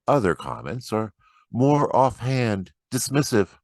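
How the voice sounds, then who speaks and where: A man giving a dramatic, expressive and deliberate reading of poetry. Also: mid-range voice, no echo, studio quality. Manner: expressive, deliberate, acts out quoted remarks. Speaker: poetry reader.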